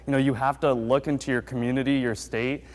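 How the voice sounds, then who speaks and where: A man's voice: medium pitch, flat delivery, brisk, big-room sound. Casual mid-voiced man on event curation. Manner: casual, uses "you know". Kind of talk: interview answers in a video.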